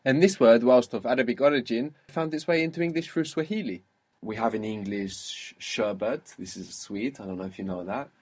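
Husky-voiced male language teacher. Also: medium pitch, a little mellow. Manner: patient, explanatory, spells out sounds slowly. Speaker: Arabic teacher. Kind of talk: one-on-one language lesson.

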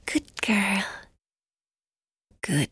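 Tormented young Irish woman, hesitant and husky. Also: mid-range voice, a bit bright, no echo, clean studio sound, exaggerated voice. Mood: hushed, soothing. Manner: hesitant, halting, guarded, breaking off mid-sentence. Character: tormented, evasive young woman giving police her story. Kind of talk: naturalistic audio drama acting.

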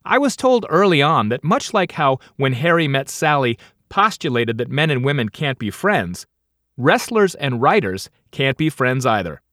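Lively middle-aged man reading his memoir. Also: medium pitch, dry recording. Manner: wry, dry comic timing with punchline pauses. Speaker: author reading own audiobook. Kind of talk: scripted audiobook narration, first-person memoir.

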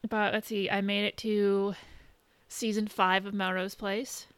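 A woman podcast co-host chatting casually in a halting, conversational way, recapping what she has been watching. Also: medium pitch, little pitch movement, smooth, no echo. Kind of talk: casual chat, recapping shows and films.

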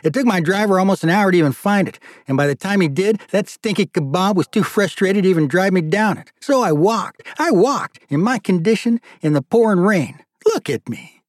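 A man's voice: mid-range voice, a little slow, dry recording, studio quality, close to an everyday voice. A man voicing an agitated, impatient older brother with a thick Manhattan accent, whining and incredulous. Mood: exasperated. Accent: New York (Manhattan). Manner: incredulous, whiny, exasperated.

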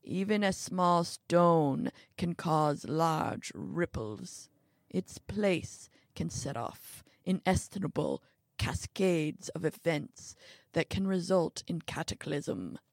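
A woman voicing a sinister, irascible old male villain in an audiobook, with a slow, grumbling, contemptuous delivery. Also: on the low side, creaky, a little mellow, no room sound, clean studio sound, heavily acted. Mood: stern.

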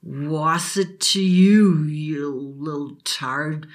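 A woman's voice: low-pitched, very slow. A woman voicing a drunk, abusive father who slurs and sneers. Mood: mocking. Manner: slurred and sneering.

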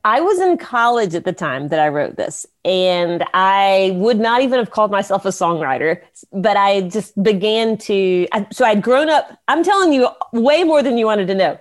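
A woman from the Carolinas chats as an interview guest. Her voice sits at an ordinary pitch for a woman. She rambles happily and laughs often at herself. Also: animated, moderate pace. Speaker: songwriter guest. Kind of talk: interview storytelling.

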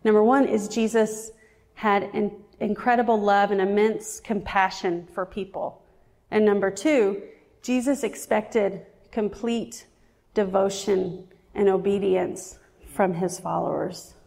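Woman preacher in her forties, earnest and reflective, slightly gravelly, long church-hall reverb. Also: medium pitch, fairly even intonation, a little mellow. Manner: earnest, reflective, slows down for emphasis. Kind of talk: sermon.

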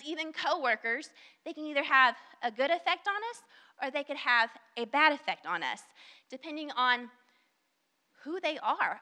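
A woman's voice: high voice, bright, forceful tone, big-room sound. A young woman with a Southern US accent, preaching an earnest, conversational sermon at a church service and reading scripture. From Southern United States. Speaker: guest speaker at a church service. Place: church sanctuary.

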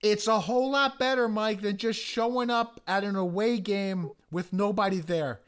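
Hoarse high-voiced American man. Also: steady tone, normal speed, a bit bright. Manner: earnest, many restarts and you-knows. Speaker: podcast co-host. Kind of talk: casual sports chat.

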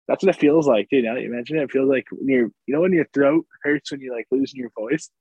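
Brief: male podcast co-host, husky, sore-throated, losing his voice, dry close mic. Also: mid-range voice, fast talker, darkish tone, dry recording, highs cut off. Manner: laid-back agreeing banter. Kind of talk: casual sports podcast chat.

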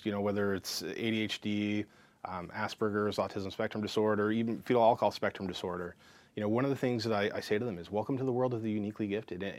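A man, a counselor talking about his life in a frank, lively way as an interview guest. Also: lowish voice, dry recording. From Canada. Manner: frank and lively, full of fillers and restarts. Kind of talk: casual interview storytelling.

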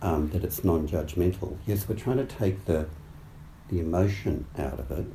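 A man with a low, very dark and mellow voice, croaky tonight, gives a meditation talk. He speaks slowly and thoughtfully, pausing often and restarting his sentences. The recording sounds roomy, with a faint hiss behind him. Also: expressive. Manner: halting, thoughtful, many fillers and restarts. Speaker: meditation teacher. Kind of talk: dharma talk. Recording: roomy echo, faint background noise.

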